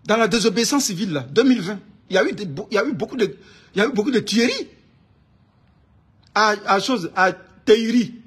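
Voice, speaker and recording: A man from Ivory Coast with an Ivorian accent and a husky voice, delivering an informal, indignant political rant, emphatic and repeating his phrases insistently. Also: sits high, expressive, fairly quick, a bit bright. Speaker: online political commentator.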